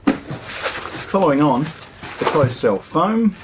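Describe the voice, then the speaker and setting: A man's voice: on the high side, very animated, takes it slowly, very noisy. A middle-aged man, presenting a casual product unboxing in a hi-fi store, chatty and enthusiastic with frequent ums and restarts. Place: hi-fi store, indoors.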